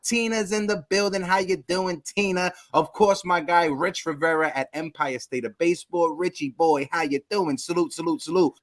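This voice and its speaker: A man in his thirties hosting a casual sports talk livestream, giving upbeat shout-outs to viewers in the chat. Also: on the high side, fairly even intonation, moderate pace, hardly any pauses. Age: 30s. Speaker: podcast host.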